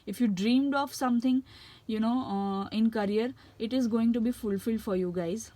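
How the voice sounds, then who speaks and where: A woman's voice: on the high side, dark tone. A woman with an Indian English accent and a cold gives a casual tarot reading, with frequent fillers and restarts. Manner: halting, many fillers and restarts. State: has a cold. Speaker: tarot reader.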